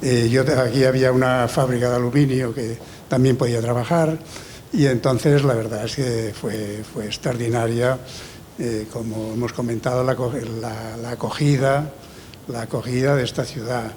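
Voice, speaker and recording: A man's voice: medium pitch, steady tone, normal speed, a little mellow, slight background noise. A man in his sixties from Barcelona, a former handball player, reminiscing gratefully and restarting his phrases often. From Barcelona, Spain.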